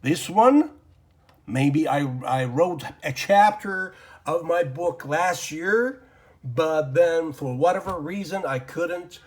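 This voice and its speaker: A man whose first language is Persian teaches English grammar from his desk at an unhurried pace. His voice sits a touch high and swings widely in pitch. He rambles, fills pauses with ums and uhs, and mutters to himself as he draws on the board. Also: lively intonation. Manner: rambling, lots of fillers and self-talk while drawing. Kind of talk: informal grammar lesson.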